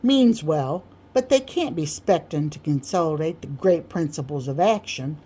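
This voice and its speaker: A woman's voice: low-pitched, animated, moderate pace, warm tone, husky. A woman voicing a pompous, boastful man who holds forth in folksy dialect with grandiloquent emphasis, in an audiobook reading. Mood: lofty, scolding. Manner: grandiloquent, emphatic, folksy dialect speech with mangled big words. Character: pompous, boastful male orator holding forth to admirers.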